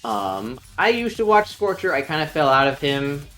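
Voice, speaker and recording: A man's voice: fairly high pitch, big pitch swings, normal speed, fairly bright tone, faint music behind, rough recording. A young man with a nasal voice, chatting casually on a live stream, rambling with many ums and restarts. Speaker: stream co-host.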